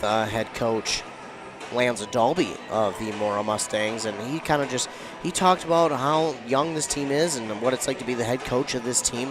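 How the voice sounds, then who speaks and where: A young man hosting a radio sports pregame show from a school gymnasium, his voice hoarse and a bit subdued. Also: mid-range voice. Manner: conversational, somewhat subdued. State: hoarse, has lost his voice. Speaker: radio sports play-by-play host. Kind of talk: pregame broadcast talk.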